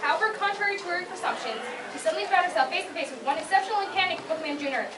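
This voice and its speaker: A woman's voice: very high voice, lively intonation, very fast, crowd noise. A young woman reading scripted fan fiction narration aloud at a convention panel. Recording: echoey noisy room, far from mic.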